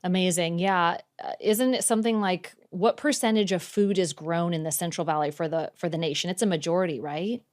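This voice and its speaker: A woman's voice: fairly low pitch, fairly even intonation, brisk, hardly any pauses. A woman hosting a political interview show, reading an upbeat intro and asking her guest questions with friendly praise. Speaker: podcast host.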